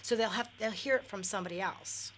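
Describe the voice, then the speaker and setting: A woman's voice: medium pitch, fast, fairly bright tone, a little hiss or hum. A middle-aged woman, a guest on a radio talk show, talking candidly with lots of fillers and restarts. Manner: candid, many fillers and self-corrections. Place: radio studio.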